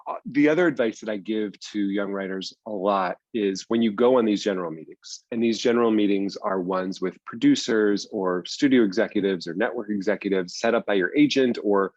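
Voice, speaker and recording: A man's voice: lowish voice, a little fast, hardly any pauses, a little mellow, no echo, lo-fi sound. A man answering as an interview guest in a conversational, explanatory way, stressing points by repeating them. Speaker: guest, screenwriter and audio drama producer.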